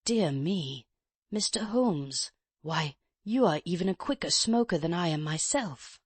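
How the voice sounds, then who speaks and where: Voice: mid-range voice, expressive, unhurried, slightly rough, no echo. A reader playing a frail, mincing old professor. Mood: fretful. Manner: mincing, courteous, self-pitying. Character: frail old male professor, chain-smoking invalid scholar. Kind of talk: audiobook character reading.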